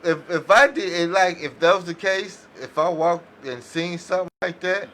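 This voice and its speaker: A man's voice: high voice, moderate pace, a bit bright, slight background noise. A man in a casual group chat, speaking hesitantly and circling back over his point.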